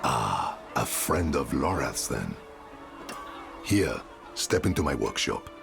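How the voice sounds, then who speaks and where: A kindly village carpenter in a game cinematic. His voice is very low and gravelly, with swooping intonation and a thoughtful, halting delivery. Music plays behind him. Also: very animated, exaggerated voice. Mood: genial. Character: kindly gruff male village carpenter and father. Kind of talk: video game cinematic acting.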